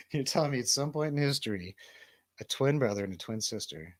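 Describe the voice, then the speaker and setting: A man's voice: mid-range voice, expressive, normal speed, no room sound. Joking American man, dry close sound. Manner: joking, rambling, profane. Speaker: DJ co-hosting a comedy radio show. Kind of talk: casual comic banter.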